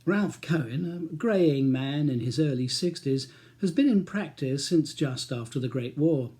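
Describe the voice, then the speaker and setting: Mellow male audiobook narrator. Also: a little high, lively intonation, measured pace. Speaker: author reading own audiobook. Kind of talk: scripted narration of a crime novel.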